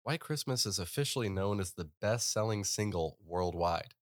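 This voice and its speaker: Low voiced guy cohosting, easygoing, moves the chat along, dry sound. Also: animated, moderate pace, dry recording. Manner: agreeable, leads the topics, shares facts. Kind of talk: casual chat.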